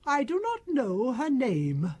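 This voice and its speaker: A woman's voice: sits high, wildly swooping pitch, slow, warm tone, husky, exaggerated voice. An actress playing a haughty, possessive older mother in a radio detective drama, indignant and imperious. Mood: defiant. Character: haughty, possessive, defiant mother of a grown son.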